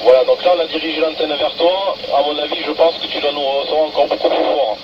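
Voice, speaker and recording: A man's voice: on the high side, quick pace. Man on a very noisy muffled radio. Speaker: amateur radio operator. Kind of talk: casual radio contact.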